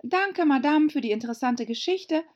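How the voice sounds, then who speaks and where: Woman doing a prim little girl, high pitched, pert n questioning, storybook voice, bit muffled. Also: lively intonation, moderate pace, a little mellow. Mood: puzzled, polite.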